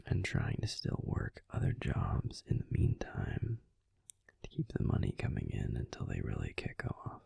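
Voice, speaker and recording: A man voicing a caring young werewolf boyfriend in a gentle, breathy, unhurried whisper for a sleep-aid roleplay, close to the microphone. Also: extremely low pitch, huge pitch swings, no echo, heavily acted. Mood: soothing, drowsy. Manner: gentle, soothing, unhurried reassurance with pauses. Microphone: close, dry microphone.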